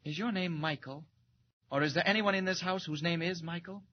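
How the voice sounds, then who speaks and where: A man's voice: a little high, a little fast, lightly acted. A man playing a mild-mannered reporter with a secret heroic side in a 1940s radio serial, often hesitant and flustered. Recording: dull old radio transcription with hiss.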